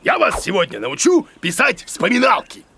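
A man's voice: high-pitched, wildly swooping pitch, normal speed, gravelly, pushed and bright, a little hiss or hum, full character voice. A man voicing a goofy, boastful bearded cartoon creature, a childish mama's boy who blusters, mutters and stammers. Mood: boastful, indignant.

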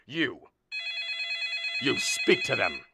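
A man's voice: mid-range voice, wildly swooping pitch, extremely slow, very noisy, full character voice. An actor playing a blustering, exasperated middle-aged father of a bride in a radio comedy, theatrical and argumentative. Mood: panicked, irritated. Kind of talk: stage comedy performed as a radio play.

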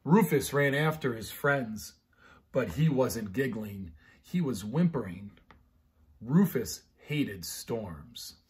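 A man's voice: medium pitch, expressive, takes it slowly, a little mellow. A middle-aged American man, a pastor chatting casually and reading children's bedtime stories expressively. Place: living room at home, indoors.

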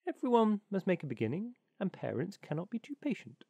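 A prim mother duck, proper and lecturing, pitched higher than the narration, as a man puts her on. The reading has a dry, close sound with a touch of grit. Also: big pitch swings, fairly quick, vocal fry, dark tone, slightly rough, no echo, heavily acted.

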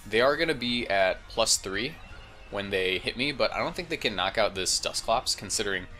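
A man with a fairly low but very bright voice gives casual game commentary, sounding a little congested. He thinks aloud in an informal way over game music. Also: expressive, normal speed. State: slightly congested. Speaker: gaming video host.

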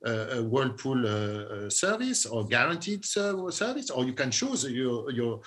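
Bright-voiced executive explaining step by step. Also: male voice, medium pitch, sing-song, unhurried. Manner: explanatory, stepwise, with fillers. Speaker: company executive panelist. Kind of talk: panel discussion answer by video call. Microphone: video call webcam.

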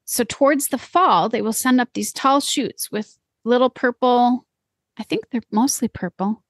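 A woman's voice: on the high side, unhurried, no room sound, clean studio sound. A woman co-hosting a homesteading podcast, chatting casually and agreeing readily. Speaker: podcast co-host. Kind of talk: casual gardening chat.

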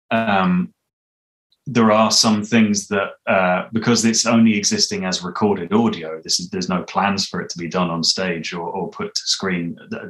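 A man in his thirties with a low-pitched voice. He explains his creative work in a casual, hesitant, thoughtful way, speaking over a video call from home. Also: fairly bright tone, rough recording. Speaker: audio drama composer, interviewee. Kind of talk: casual interview conversation. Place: small home room, indoors. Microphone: video call, mic at a distance.

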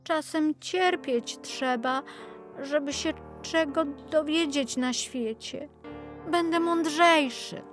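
A woman's voice: sits high, takes it slowly, soft background music, pitched above the performer's own voice. A woman voicing a naive, cheerful young flax plant in a children's storybook, wide-eyed and emphatic. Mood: resigned, sad.

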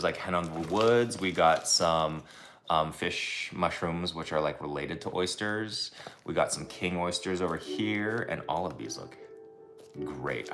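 A man in his thirties chats loosely through a home cooking stream, his voice sitting low and easy. He rambles with plenty of "like" and "um" while the camera sits a few feet off. Music plays clearly underneath him. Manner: relaxed, rambling, lots of "like" and "um". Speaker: cooking livestream host. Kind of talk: casual cooking livestream chat. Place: home kitchen, indoors. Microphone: camera set a few feet away.

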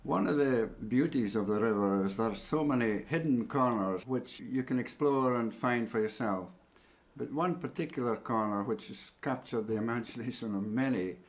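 An older male painter, interviewed in his studio, reminisces gently, with soft laughs and hesitations. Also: fairly low pitch. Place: indoor studio.

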